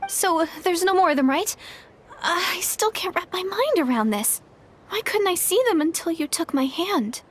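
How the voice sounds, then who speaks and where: A woman playing a young female traveler in a video game, gentle, earnest and apologetic, with a hesitant manner. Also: very high voice, animated, normal speed. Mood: puzzled, surprised. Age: young adult. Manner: hesitant, soft-hearted, earnest.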